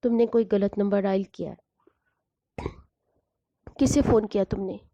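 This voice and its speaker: A woman's voice: mid-range voice, fairly even intonation, measured pace, mellow, no echo, natural voice. A woman voicing a frantic grieving mother. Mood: frantic denial. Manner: wailing, pleading in disbelief. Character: grief-stricken middle-aged mother, frantic. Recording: slightly dull lo-fi recording.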